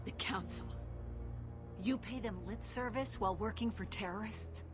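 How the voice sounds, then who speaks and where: A woman's voice: mid-range voice, moderate pace, natural voice. A woman playing an indignant, combative agent in a sci-fi video game, accusing and emphatic. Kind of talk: video game cutscene acting. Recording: music behind the voice.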